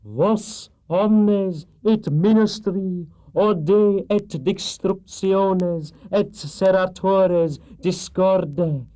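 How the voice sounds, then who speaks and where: A man's voice: sits high, mellow, voice pushed up, low-quality recording. A man voicing a commanding evil witch in a children's storybook, with a harsh, droning, imperious delivery. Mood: ritual command. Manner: slow, imperious incantation. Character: commanding evil witch woman chanting dark spells.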